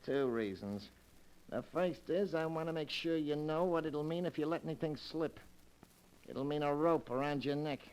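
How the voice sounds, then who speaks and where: An actor playing a callous, menacing small-time burglar who talks in tough slang and clipped commands, in a 1940s radio crime drama. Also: male voice, on the high side, normal speed, a little mellow, clear, resonant tone, close to an everyday voice. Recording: noisy vintage 1940s radio recording.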